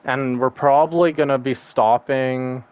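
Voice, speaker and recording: A man's voice: mid-range voice, fairly even intonation, measured pace, clear, resonant tone, highs cut off. A man streaming a game, chatting casually and rambling, laughing often and swearing now and then. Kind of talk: casual gaming stream chat.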